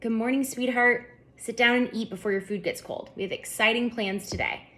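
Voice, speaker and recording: A woman voicing a kind, encouraging mother in a children's storybook, with a gentle, reassuring manner. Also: on the high side, fairly even intonation, fairly quick, close to an everyday voice. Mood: cheerful. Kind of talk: children's storybook read-aloud.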